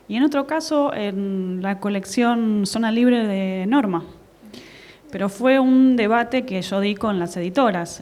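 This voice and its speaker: A woman, a translator and teacher, speaks on a book-fair panel. She explains her points in an articulate, measured way. Her voice is mid-pitched, with only occasional fillers. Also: a little mellow. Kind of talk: panel discussion. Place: conference hall at a book fair.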